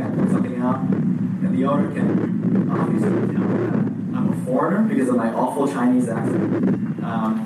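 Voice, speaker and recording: A man preaching a sermon in a conversational way, often pausing with fillers and restarting his sentences. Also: medium pitch. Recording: echoey room heard from a distance with background noise.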